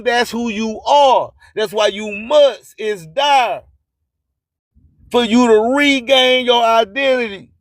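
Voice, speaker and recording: A man speaks in a strikingly high-pitched voice, taking his time over each phrase. He preaches like a motivational radio host, emphatic and fond of repeating his lines. The sound is dry and close, with no room around it. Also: dry recording. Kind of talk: motivational spoken-word sermon.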